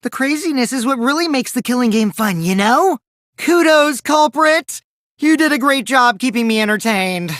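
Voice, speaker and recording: A taunting, mischievous teenage boy from an anime video game. His pitch leaps around as he swings theatrically from gleeful mockery to cold remarks. The recording is dry, with no room sound. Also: animated, normal speed, fairly bright tone, no echo.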